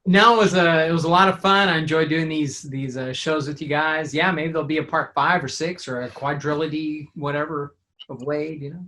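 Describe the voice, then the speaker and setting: A man's voice: on the high side, steady tone, fairly quick, sharp tone. A man chatting casually as a guest performer on an audio drama show. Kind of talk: casual chat.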